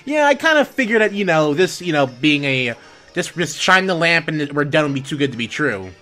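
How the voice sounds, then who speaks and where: A woman's voice: sits low, very animated, fairly quick, fairly bright tone. A woman hosting a let's play, chatting casually and correcting herself as she goes. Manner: chatty, self-correcting, reads dialogue aloud. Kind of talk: casual gameplay commentary. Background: game music.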